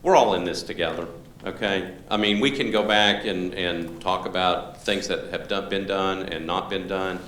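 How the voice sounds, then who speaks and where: A man's voice: low-pitched, moderate pace, few pauses, a bit bright. A man facilitating a public meeting, talking informally and restarting his sentences. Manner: informal, conversational, with frequent restarts. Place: large room at a public library. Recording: echoey hall, faint room noise.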